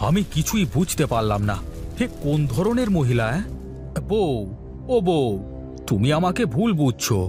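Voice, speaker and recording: A man's voice: fairly high pitch, big pitch swings, moderate pace, pauses often, music in the background. A man playing an exasperated village husband in a cartoon story, placating and coaxing as he talks. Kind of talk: Bengali cartoon story acting.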